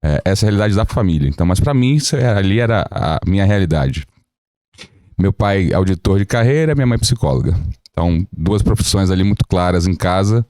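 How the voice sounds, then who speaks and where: A man in his thirties from Brazil, a lawyer telling his life story as a podcast guest in a relaxed, joking way. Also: low voice, a little fast, no echo, clean studio sound. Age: 30s. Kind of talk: casual podcast interview.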